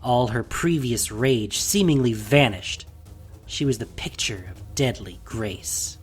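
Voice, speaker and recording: A man narrating a scripted fantasy audio drama in a dramatic, deliberate way, with a breathy voice. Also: medium pitch, soft background music.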